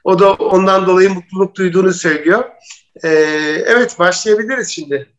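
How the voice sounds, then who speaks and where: High-pitched man, dull muffled audio. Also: fast, fairly bright tone. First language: Turkish. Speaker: programme co-host and interpreter. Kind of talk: live interview hosting and interpreting.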